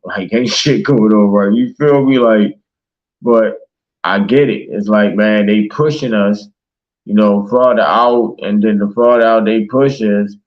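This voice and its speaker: A man's voice: on the low side, steady tone, unhurried, muffled recording. A man in casual, passionate conversation as a talk show host, speaking emphatically with slang and swearing. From Baltimore, United States.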